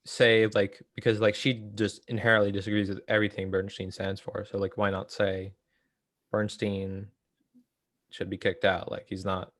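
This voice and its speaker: Low-voiced young man, halting discussion. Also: little pitch movement, a bit bright, no room sound. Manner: halting, hedging, thinking aloud. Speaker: co-host of a book read-along series. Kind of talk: casual discussion of political theory.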